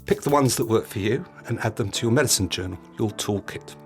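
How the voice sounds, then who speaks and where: A middle-aged British man narrating a scripted podcast as host, instructive, speaking in short deliberate phrases. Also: fairly low pitch, soft background music.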